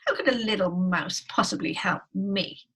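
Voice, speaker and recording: A woman voicing a huge, proud male lion from a children's storybook, loud and mocking. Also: mid-range voice, moderate pace, husky. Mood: scornful amusement.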